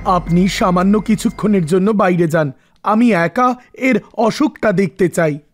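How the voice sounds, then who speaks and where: A man's voice: high-pitched, a little slow. Earnest young village doctor, played by a man. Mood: serious. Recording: music under the voice.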